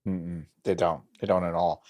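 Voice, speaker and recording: A man's voice: deep voice, fairly even intonation, normal speed, a little mellow. A young adult man co-hosting a casual podcast, riffing sarcastically in a mock-corporate voice and swearing. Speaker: podcast co-host.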